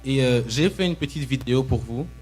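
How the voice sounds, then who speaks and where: A man's voice: mid-range voice, brisk, darkish tone. A young man from Belgium preaching live in church, in short emphatic phrases that leave room for an interpreter. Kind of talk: live sermon with pauses for interpretation. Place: church, speaking to a congregation. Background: musical instrument playing.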